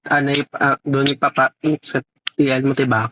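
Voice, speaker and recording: A man's voice: medium pitch, flat delivery, normal speed, bright, forceful tone, dry recording, rough recording. A Filipino man vlogger chatting casually in a playful, rambling way full of vague filler words. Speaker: vlogger making a prank call. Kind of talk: casual chat, prank phone call.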